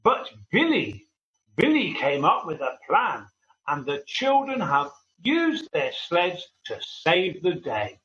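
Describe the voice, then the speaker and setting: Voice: mid-range voice, huge pitch swings, slow pace, fairly bright tone, muffled recording, full character voice. A reader voicing an older, jolly delivery man in a children's storybook, chatty and earnest. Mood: earnest, grateful.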